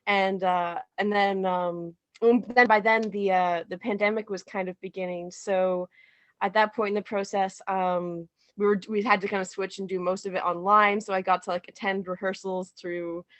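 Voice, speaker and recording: A woman giving a casual interview as a playwright, speaking haltingly and thinking aloud. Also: mid-range voice, fairly even intonation, fairly bright tone, no room sound. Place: remote video call.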